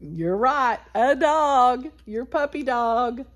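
An older woman in her sixties reads aloud to small children as a story time host. Her voice sits fairly high and moves up and down a lot. She takes it very slowly, drawing words out and addressing the children directly. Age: 60s. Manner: animated, addressing children directly, drawn-out words and animal sounds. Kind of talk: read-aloud for young children. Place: small wood-paneled room indoors.

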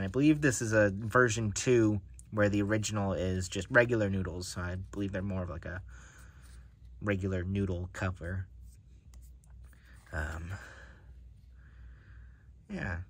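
A man's voice: deep voice, a little slow, long pauses, fairly bright tone. A man casually narrating an unboxing of playing cards, musing to himself as he handles them. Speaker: card collector reviewing decks. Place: indoors at a table.

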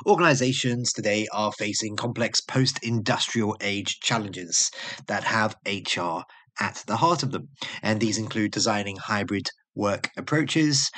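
A man with a low voice hosting a podcast interview. He is effusive, heaping compliments on his guest. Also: fairly bright tone. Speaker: podcast host.

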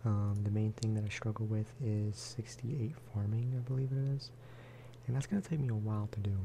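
Man, soft-spoken ASMR gaming chat, lowish voice, flat intonation, unhurried and rambling. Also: gravelly, slight background noise. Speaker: gaming video creator.

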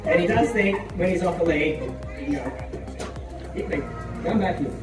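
A man's voice: high-pitched, lively intonation, normal speed. A young man giving a chatty live demonstration talk to a crowd at an outdoor farm paddock, often asking "all right?". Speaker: farm dog handler. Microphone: distant handheld camera. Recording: distant, echoey, with loud music and crowd.

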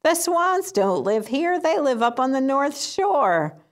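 A woman's voice: sits high, big pitch swings, slow, voice pushed up, exaggerated voice. A woman voicing a laid-back, friendly street pigeon who teases a little.

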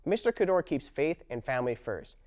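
A man's voice: mid-range voice, very animated, brisk, darkish tone. A young adult man, a student presenter, reading a script to camera in an indoor studio with an upbeat delivery. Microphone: lapel mic.

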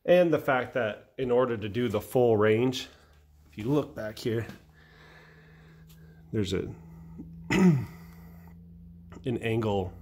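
An American man giving an informal, blunt, unscripted product review in a halting way, correcting himself as he goes. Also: mid-range voice, lively intonation, a little mellow. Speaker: welder-fabricator reviewing a tool. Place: indoor metalworking garage workshop.

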